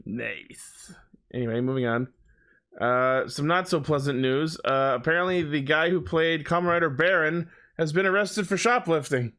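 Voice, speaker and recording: A man's voice: fairly high pitch, normal speed, fairly bright tone. A man co-hosting a podcast, chatting casually about fandom news and swearing freely. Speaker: podcast co-host.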